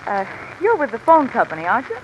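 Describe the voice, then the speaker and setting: A woman's voice: a little high, huge pitch swings, quick pace. An actress playing a controlling, scheming woman accomplice in a 1950s radio drama. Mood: flustered. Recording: muffled noisy vintage radio, rain behind.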